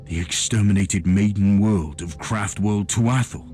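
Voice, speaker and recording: A resolute, commanding inquisitor from a grim video game, played by a man. He has a fairly low voice and speaks tersely, with stern suspicion, over faint music. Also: unhurried, mellow, close to an everyday voice. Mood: suspicious, stern. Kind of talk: dramatic video game cutscene acting. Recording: music behind the voice.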